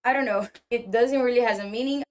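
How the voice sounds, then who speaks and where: Woman speaking halting English. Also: a little high, darkish tone. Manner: halting, searching for English words. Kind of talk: casual vlog talk.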